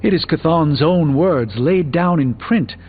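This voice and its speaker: Grave, learned sorcerer, muffled game audio. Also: male voice, on the high side, slightly rough. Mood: grave, explanatory. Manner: measured, formal, articulate. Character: learned, grave sorcerer mentor, adult man. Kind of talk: video game character dialogue. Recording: dull band-limited game audio, music behind.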